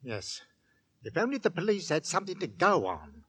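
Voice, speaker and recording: A working-class Victorian husband with a London accent. He is scoffing and knowing, morbidly curious, with a very lively, swinging delivery from a 1940s radio play. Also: a little high, normal speed, breathy edge, full character voice.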